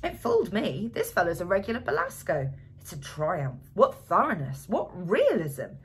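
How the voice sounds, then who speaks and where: A woman's voice: lowish voice, huge pitch swings, moderate pace, husky, full character voice. Woman doing a blustery drunk middle-aged man. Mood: triumphant. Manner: eager, insistent, blustery.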